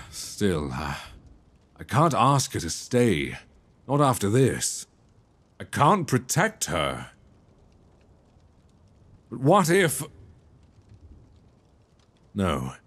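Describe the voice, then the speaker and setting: A man acts out an anguished young prince in a romantic audio drama. His voice is husky and lowish, moving slowly and haltingly with wide swings of feeling, earnest and tender as a worried lover. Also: exaggerated voice. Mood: torn, pleading. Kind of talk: romantic audio roleplay, ASMR-like acting.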